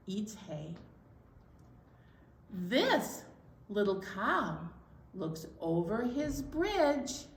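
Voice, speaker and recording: A woman's voice: mid-range voice, slight background noise. A woman hosting story time for young children, playfully giving simple, repeated instructions. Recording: echoey room, distant mic.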